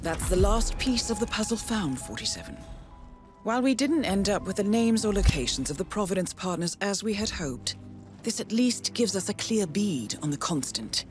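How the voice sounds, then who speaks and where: A woman playing a composed intelligence handler in a video game, giving measured, formal and precise mission briefings. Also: mid-range voice, very animated, lightly acted. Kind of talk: scripted mission briefing narration. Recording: loud cinematic music behind. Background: loud music score.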